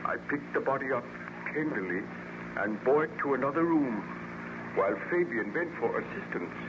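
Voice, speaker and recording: An actor playing a tormented, vengeful scientist with earnest, formal melodrama in an old-time radio horror serial. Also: male voice, medium pitch, animated, normal speed, highs cut off, lightly acted. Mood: grieving. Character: anguished, vengeful male scientist, tormented by his creation. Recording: noisy, band-limited old radio recording.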